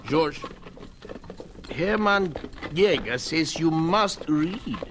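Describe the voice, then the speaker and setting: An actor playing a stern but caring old German farmer with a German accent, speaking earnest, halting, broken English in a 1950s radio drama. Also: male voice, on the high side, lively intonation, a bit bright, full character voice. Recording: hissy old radio recording.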